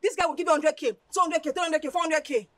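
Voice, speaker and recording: Wheedling, high-voiced young Nigerian woman. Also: lively intonation, a little fast, fairly bright tone. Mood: gushing, coaxing. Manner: wheedling, coaxing, repetitive pleading. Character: cajoling, money-minded, theatrical young best friend. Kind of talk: lively screen drama acting with Pidgin phrasing.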